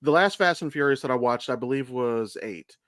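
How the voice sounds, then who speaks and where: A man's voice: medium pitch, fairly quick, fairly bright tone, dry recording. Man chatting casually, very dry close sound. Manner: blunt, sweary enthusiasm. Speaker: podcast co-host and amateur voice actor. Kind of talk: casual podcast chat.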